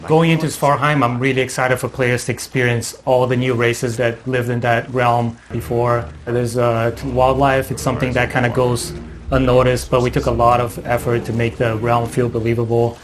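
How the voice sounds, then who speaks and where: Flat-pitched man in an interview. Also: normal speed, noisy background. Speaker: game art director. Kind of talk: documentary interview.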